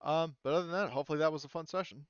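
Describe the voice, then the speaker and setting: A man's voice: fairly high pitch, fairly even intonation, very fast, clean tone, no echo, highs cut off. A man chatting casually as the game master of a tabletop roleplaying session.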